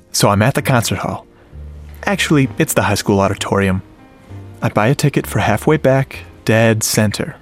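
A man's voice: mid-range voice, close to an everyday voice. An actor playing a lovestruck, self-deprecating geek, narrating his story in a confiding, earnest way in a radio drama. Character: lovestruck, self-deprecating, geeky male sound-effects artist. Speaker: narrator and lead character. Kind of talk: first-person radio drama narration, conversational. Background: music under the voice.

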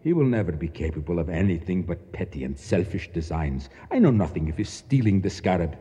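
An actor playing a proud, bitter Egyptian nationalist official in a radio drama, speaking formally and precisely with declamatory flourishes. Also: male voice, low voice, lively intonation, brisk, dark tone, slightly rough, heavily acted. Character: proud, embittered, fanatical Egyptian nationalist official, courteous yet grim. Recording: dull sound with long echoing reverb.

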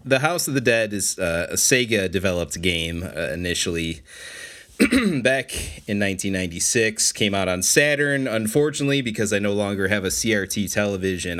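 A man's voice: low voice, expressive, moderate pace, fairly bright tone. A man co-hosting a podcast, talking casually and a little wryly about video games. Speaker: podcast co-host.

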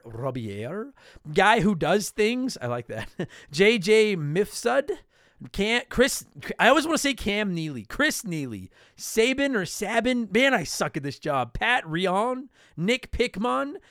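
A man hosting a podcast in a casual, joking, self-deprecating and blunt way, his voice slightly hoarse from a lot of talking. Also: high voice, a bit bright, no room sound, studio quality. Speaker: podcast host. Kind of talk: casual solo podcast talk with promotional plugs.

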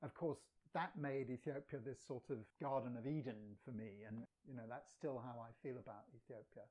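A man's voice: medium pitch, steady tone, moderate pace, a little mellow, low-quality recording. A man who grew up partly in England, chatting as a guest poet and translator, telling anecdotes and laughing now and then. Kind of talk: casual storytelling.

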